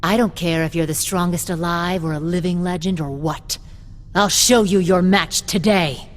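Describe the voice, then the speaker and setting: A woman's voice: on the low side, steady tone, normal speed, natural voice. An actress playing a defiant, hot-headed woman fighter in a video game, blunt and challenging. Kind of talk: English video game dub acting. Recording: echoey hall reverb.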